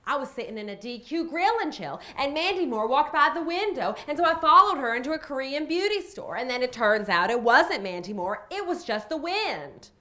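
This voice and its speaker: A boastful, eccentric psychic, played by a woman sells her powers in a high voice. She is dramatic and emphatic, stretching out her catchphrases for comic effect. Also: sing-song, normal speed, few pauses, bright, forceful tone, heavily acted. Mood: boastful, mystical. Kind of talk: character comedy monologue, mock sales pitch.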